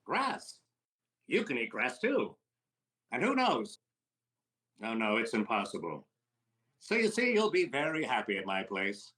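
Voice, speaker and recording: An actor playing a brash, fast-talking wisecracking comedian in a deadpan 1940s radio comedy style. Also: male voice, mid-range voice, full character voice.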